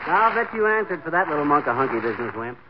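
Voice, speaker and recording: An actor playing a blustery, good-natured, wisecracking husband with a folksy, slangy way of talking in a 1940s radio comedy. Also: male voice, a little high, big pitch swings, fast talker. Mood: blustery, amused. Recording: noisy muffled old 1940s radio recording.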